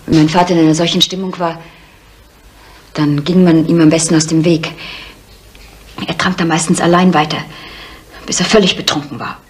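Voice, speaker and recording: A woman's voice: deep voice, level tone, moderate pace, long pauses, lightly acted. A woman playing an innkeeper's embittered, matter-of-fact daughter in a radio crime drama, speaking curtly and soberly. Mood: sober, bitter. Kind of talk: 1970s German radio crime drama acting.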